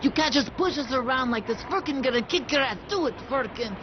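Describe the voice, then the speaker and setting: Game villager: defiant, scornful fighter, high voice, noisy background. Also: female voice, animated, a little fast. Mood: angry, defiant. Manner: combative, scornful. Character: fierce, defiant village woman goading her partner. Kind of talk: cinematic video game acting. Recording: muffled game capture, clear noise.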